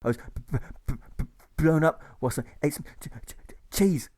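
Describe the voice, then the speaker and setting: A man's voice: a little high, moderate pace, darkish tone, pitched above the performer's own voice, natural voice. A man voicing a peppy wounded patient in an audiobook, with a heavy stammer. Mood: eager, stuttering.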